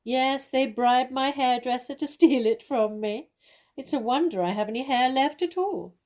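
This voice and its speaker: Woman doing a grand witty theatre actress, kinda condescending and arch, soft warm tone, drama style. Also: high-pitched, normal speed, natural voice. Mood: wry, knowing. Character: worldly, witty, self-assured famous stage actress, gently mocking. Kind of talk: theatrical stage comedy acting.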